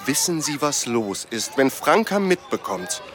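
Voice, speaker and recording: A man's voice: mid-range voice, sing-song, a little slow, a bit bright, some chatter behind, a little hiss or hum. An actor playing a meek, anxious young father, polite and hesitant, in a satirical radio play. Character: meek, anxious young father, polite subordinate under his wife's thumb.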